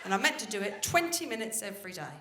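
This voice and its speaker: A woman's voice: mid-range voice, big pitch swings, quick pace. Self-mocking woman comic on stage. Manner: self-mocking, bursts into shouted pep talks. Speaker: stand-up comedian. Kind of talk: stand-up comedy set. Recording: echoey, very noisy live recording.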